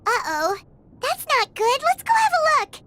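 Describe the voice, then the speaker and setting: A woman voicing a tiny, cheerful childlike fairy companion in a video game, squeaky and exclamatory. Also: huge pitch swings, normal speed, exaggerated voice. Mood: alarmed. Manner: exclamatory, bubbly. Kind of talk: English video game voice acting.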